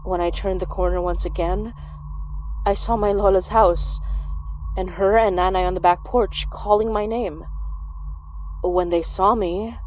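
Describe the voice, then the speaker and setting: A woman's voice: on the low side, darkish tone, lo-fi sound, slight background noise, natural voice. A woman playing a wry young woman who recounts a childhood monster chase in a horror audio drama. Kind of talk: first-person horror storytelling in audio drama.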